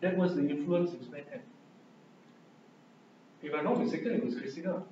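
A man's voice: on the high side, normal speed, mellow, muffled recording, a little hiss or hum. An older man with a Malaysian accent giving a training talk into a handheld microphone in a classroom, telling anecdotes and acting out quoted voices. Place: classroom with projector. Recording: echoey room from far off.

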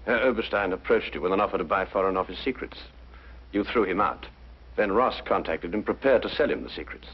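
An actor playing a brilliant, courteous detective, speaking precisely and deliberately in 1950s detective drama style. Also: male voice, low voice, husky. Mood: accusing. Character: brilliant, composed male detective, methodical and courteous. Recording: muffled 1950s broadcast, music underneath.

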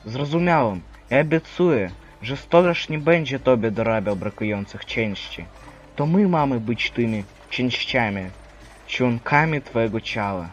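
Mężczyzna jako pokorny, przejęty wierny, nieco stłumione nagranie. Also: male voice, mid-range voice, lively intonation, a little slow, highs cut off, soft background music, lightly acted. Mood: humble, resolute. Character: humble, awed believer eager to help Christ. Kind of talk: dramatic religious stage-play acting.

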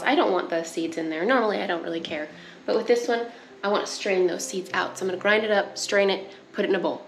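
A young woman casually talking through a home cooking project in her kitchen, chatty and laughing easily. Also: mid-range voice. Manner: chatty, laughs easily, coughs at pepper fumes. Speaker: homestead cooking vlogger. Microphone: wide camera on the counter. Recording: echoey kitchen with background noise.

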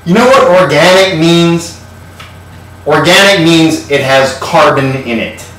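A man's voice: a little high, expressive, a little slow, a bit bright, distant mic. Sardonic man in an echoey kitchen. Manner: sardonic, irreverent, swears casually. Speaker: host. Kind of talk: comedic home cooking show. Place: home kitchen.

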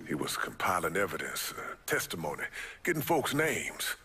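A man's voice: low-pitched, huge pitch swings, normal speed, vocal fry, sharp tone, slightly rough, exaggerated voice. A man playing a grave, burdened middle-aged American civil rights leader in a video game, speaking emphatically. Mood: grim, angry. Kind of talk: video game cutscene acting.

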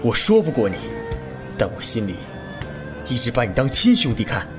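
Male actor as righteous young wuxia gentleman, mid-pitched, animated, earnest, music underneath. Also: moderate pace, lightly acted. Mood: warm, earnest. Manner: earnest, admonishing. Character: upright young swordsman of a noble clan, earnest. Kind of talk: Chinese wuxia audio drama acting.

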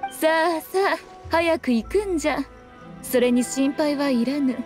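A sly haughty fox shrine lady, high voice, old-timey lofty talk, game music behind. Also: darkish tone. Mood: sly, teasing. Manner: archaic, lofty, unhurried speech. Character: sly, haughty fox shrine priestess, teasing and self-assured.

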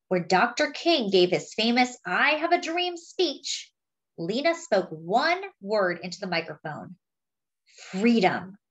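A woman reading a picture book aloud, with expressive, dramatic phrasing. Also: on the high side, poor audio. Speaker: storybook reader.

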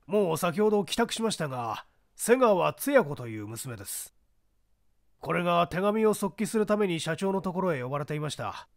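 A man's voice: high-pitched, very animated, normal speed, creaky, dry recording, clean studio sound, heavily acted. A man voicing a businesslike office clerk in a mystery audiobook, answering questions deferentially and matter-of-factly. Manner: deferential, matter-of-fact, explanatory. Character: polite, businesslike male office clerk giving testimony.

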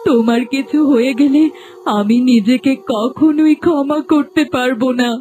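A woman's voice: high voice, normal speed, dark tone, soft background music, lightly acted. A woman voicing a grieving, vengeful serpent maiden in a fairy-tale cartoon, dramatic and pleading. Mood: anguished.

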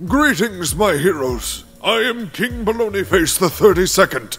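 A man's voice: sits high, very animated, measured pace, fairly bright tone, faint music behind, heavily acted. A man voicing a haughty, deranged old king in an anime parody, pompous and foul-mouthed. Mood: gleefully sinister.

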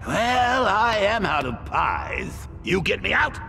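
A manic clown villain swooping wildly up and down in a sing-song, gravelly voice. He drags his words out slowly and theatrically, with a long reverb trailing behind. Loud game music plays underneath. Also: sits high, sing-song, heavily acted. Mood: scheming. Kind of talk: video game cutscene acting.

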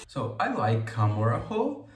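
A man's voice: mid-range voice, wildly swooping pitch, echoey room, over music. A young man hosting a casual reaction video from his bedroom, chatty and laughing often, picked up by a webcam at desk distance. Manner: chatty, laughs often, slow deliberate phrasing. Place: bedroom, indoors.

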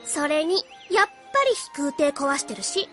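A woman's voice: high voice, moderate pace, pushed and bright, soft background music. A woman voicing a feisty, blunt young girl in a Japanese audio drama, with a teasing, scolding manner. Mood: exasperated.

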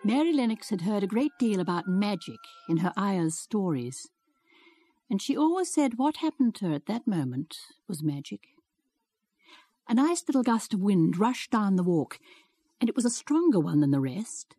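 A woman narrates a classic novel for a radio programme. Her voice sits at a medium pitch, reading scripted storybook prose. The recording is very dry, with little room sound. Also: very animated, normal speed, dark tone, slightly rough, dry recording. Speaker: reader of novel excerpts.

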